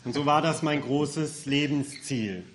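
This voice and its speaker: A man's voice: on the high side, measured pace, a little hiss or hum. A man interpreting a speaker's testimony phrase by phrase, matter-of-fact, with occasional hesitation sounds. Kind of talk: consecutive interpreting.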